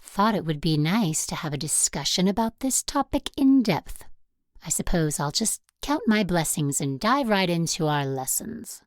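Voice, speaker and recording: A woman playing a stern religious school teacher in an audio drama, addressing the class in a deliberate, pointed manner. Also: fairly low pitch, very animated, no echo, studio quality. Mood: pointed, probing.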